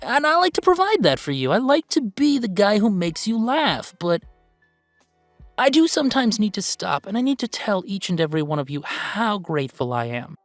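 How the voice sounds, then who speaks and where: A man playing an upbeat, earnest video game streamer who chats casually and haltingly in a livestream roleplay. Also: high voice, big pitch swings, no room sound, faint music behind. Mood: grateful, earnest. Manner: halting, rambling, sighing, earnest.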